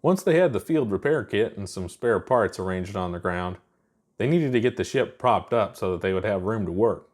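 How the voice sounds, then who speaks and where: A man's voice: fairly low pitch, expressive, moderate pace, darkish tone. A man narrating a scripted fiction story as its reader.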